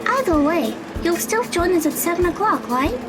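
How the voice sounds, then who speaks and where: A woman playing a kindly, optimistic teenage high school girl in an audio drama. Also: very animated, moderate pace, music in the background. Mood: upbeat.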